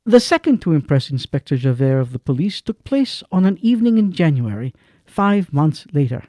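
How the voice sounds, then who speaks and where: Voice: mid-range voice, big pitch swings, normal speed, warm tone, dry recording, studio quality. A narrator telling a dramatic story in an audio drama. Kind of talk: scripted narration.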